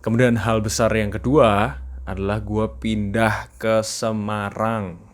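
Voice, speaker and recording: A man's voice: on the low side, flat delivery, slow pace. A young man with an East Javanese Indonesian accent, chatting casually in a video journal, slipping in English phrases and laughing now and then. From East Java. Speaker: vlogger.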